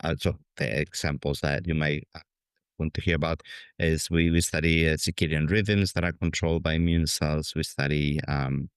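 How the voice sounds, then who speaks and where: Deep-voiced Spanish-accented man, hesitant. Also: fairly even intonation, normal speed, clear, resonant tone, no room sound. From Spain. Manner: hesitant, many fillers and restarts. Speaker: guest scientist. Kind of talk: conversational interview.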